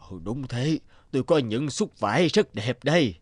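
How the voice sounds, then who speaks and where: A man voicing a calm, shrewd, mysterious cloth trader in a cartoon, composed as he gives instructions. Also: mid-range voice, normal speed, dry recording, studio quality, natural voice. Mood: courteous. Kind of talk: cartoon fairy-tale voice acting.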